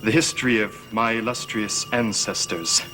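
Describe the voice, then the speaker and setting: A man's voice: lowish voice, fairly even intonation, normal speed, lightly acted, rough recording. An actor playing a sly, composed stepson who taunts his stepfather in an arch, formal way in a vintage gothic TV soap. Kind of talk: 1960s-70s gothic TV soap acting. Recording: old TV soundtrack under loud music.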